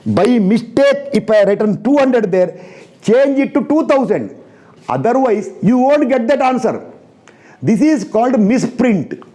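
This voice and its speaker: A man's voice: very high voice, big pitch swings, measured pace, mellow. A man from India with an Indian English accent, teaching engineering in a blunt, repetitive lecture style that drills students with rhetorical questions. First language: not English. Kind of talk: recorded classroom lecture.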